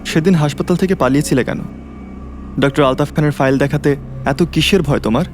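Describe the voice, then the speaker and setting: A man's voice: a little high, brisk, music in the background, natural voice. A man playing a serious, probing detective in an audio drama, with a firm, questioning manner.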